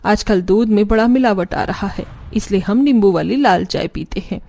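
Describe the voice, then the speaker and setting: Bossy, mellow-voiced mother-in-law. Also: medium pitch, moderate pace, vocal fry. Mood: firm, scolding. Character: bossy middle-aged mother-in-law, brisk, no-nonsense. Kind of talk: Hindi cartoon story acting.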